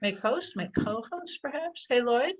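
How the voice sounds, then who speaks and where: A woman's voice: a little high, lively intonation, unhurried, dull audio. A woman hosting an online science talk over a video call, speaking casually and hesitantly, with many fillers. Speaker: host of online science event. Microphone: computer mic on a video call.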